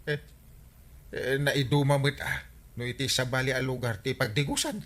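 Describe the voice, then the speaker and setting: An actor playing a comic, stammering village captain with an Ilocano accent in a radio comedy drama. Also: male voice, medium pitch, fairly even intonation, moderate pace, a bit bright, lightly acted. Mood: defensive. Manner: stammering, sputtering with repeated interjections. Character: comic excitable village captain, husband who likes gallivanting.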